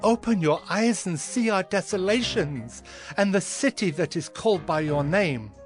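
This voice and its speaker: A man's voice: high-pitched, expressive. A man playing a humble, devout male prophet, solemn and fervent, in a dramatized scripture recording. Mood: pleading, fervent. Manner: solemn, fervent, measured delivery. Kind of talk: dramatized scripture audio acting. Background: background music.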